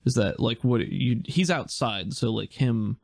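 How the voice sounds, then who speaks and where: A man narrating as game master in a casual tabletop session, relaxed and hesitant, thinking aloud with many fillers. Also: mid-range voice, measured pace, vocal fry, dry recording, clean studio sound.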